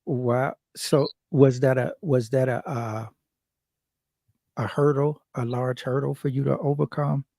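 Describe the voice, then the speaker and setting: A man's voice: medium pitch, steady tone, measured pace, creaky, dark tone, no echo. A man interviewing a guest, asking short, hesitant questions. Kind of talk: casual interview.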